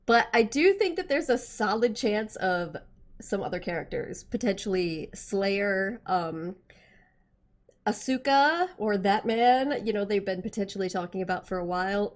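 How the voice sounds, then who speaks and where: A woman hosting a gaming reaction video, chatting casually and reacting with exclamations, her voice slightly hoarse from being sick. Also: medium pitch.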